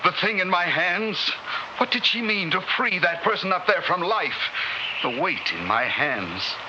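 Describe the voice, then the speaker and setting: An actor playing a bewildered outsider in a future world, narrating in halting, repetitive, dramatic bursts in old radio style. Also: male voice, high voice, animated. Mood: horrified. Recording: dull, noisy old radio drama recording.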